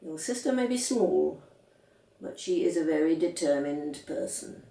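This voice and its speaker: A woman's voice: deep voice, lively intonation, unhurried, vocal fry, mellow, echoey room, far from the mic, full character voice. A woman voicing a patient, doubtful father in a storybook reading, reasoning and questioning. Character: doubtful, patient, teasing father of young children.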